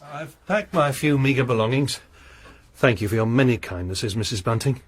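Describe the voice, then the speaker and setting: Guy voicing a quiet, formal lodger in a radio drama, soft and grave, mid-pitched voice. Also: moderate pace, slightly rough, lightly acted. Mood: solemn. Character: mysterious genteel male lodger, quiet and grave.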